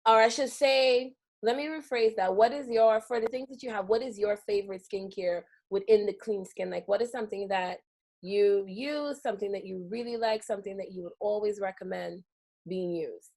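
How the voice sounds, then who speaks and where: A woman's voice: medium pitch, normal speed. Chatty woman host from Guyana. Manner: chatty and animated, laughs often. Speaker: podcast host. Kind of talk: casual interview chat.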